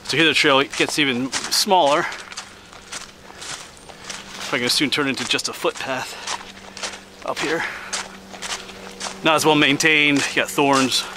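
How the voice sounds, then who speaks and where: A middle-aged man from Pennsylvania casually narrating as he hikes a winter forest trail, relaxed and slightly out of breath. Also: fairly high pitch. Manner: relaxed, chatty, pauses for breath. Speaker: hiking vlogger. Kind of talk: casual narration while hiking. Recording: outdoor noise on camera mic.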